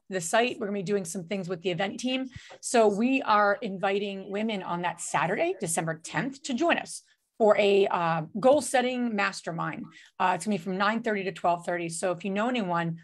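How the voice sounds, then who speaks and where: A woman's voice: medium pitch, fairly quick. A woman hosting a webinar over a video call, talking casually with many fillers and restarts. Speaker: webinar host.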